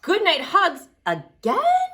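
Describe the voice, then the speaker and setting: A woman leading a library storytime for children, singing along and reading picture books aloud in an expressive, exaggerated way. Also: very high-pitched, slow pace. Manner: expressive, exaggerated delivery aimed at small children. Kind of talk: animated children's sing-along and picture-book read-aloud.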